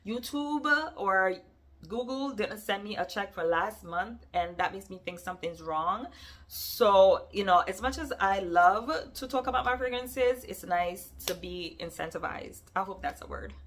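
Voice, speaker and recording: A woman's voice: medium pitch, unhurried. Jamaican-New York woman, emphatic vlogger. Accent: Jamaican mixed with New York. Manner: animated, emphatic, addresses viewers directly. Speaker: YouTuber answering viewer questions. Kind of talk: casual Q&A vlog.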